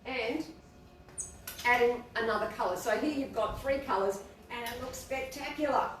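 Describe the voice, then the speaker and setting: Woman, quiet bubbly boutique co-host, slightly high voice, echoey room, faint hiss. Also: normal speed, distant mic. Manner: bubbly, rambling, self-deprecating. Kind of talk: casual live-stream chat. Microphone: no mic, far from laptop camera.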